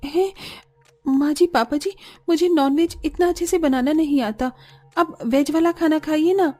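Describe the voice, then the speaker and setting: A woman playing a young, dutiful but indignant bride in a cartoon, expressive and plaintive. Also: sits high, a little slow, soft background music. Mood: surprised, hesitant.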